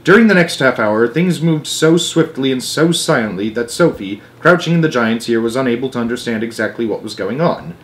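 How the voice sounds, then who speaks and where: A man narrating a children's book aloud in an expressive way, with dramatic emphasis phrase by phrase. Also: medium pitch, fairly quick, few pauses. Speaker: storyteller.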